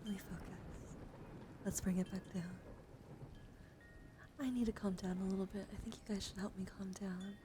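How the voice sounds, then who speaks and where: A woman live-streaming ASMR, chatting casually and playfully to viewers in a voice that drifts between soft speech and hushed whispering. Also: medium pitch, steady tone, moderate pace, pauses often. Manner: playful, giggly, easily sidetracked. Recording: clearly audible background noise.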